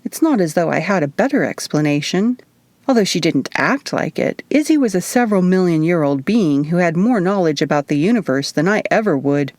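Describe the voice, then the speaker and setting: A woman reads a first-person novel aloud in audiobook style. Her voice sits slightly low for a woman, and the sound is dry with very little room. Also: lively intonation, fairly quick, a little mellow, dry recording. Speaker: author reading her audiobook.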